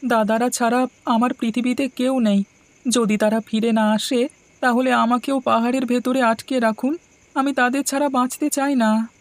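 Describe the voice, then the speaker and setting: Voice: medium pitch, fairly even intonation, normal speed, no echo, close to an everyday voice. A performer voicing an honest, humble, simple-hearted youngest brother in a cartoon, earnest and pleading. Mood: worried, pleading. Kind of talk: Bengali moral-tale cartoon voice acting.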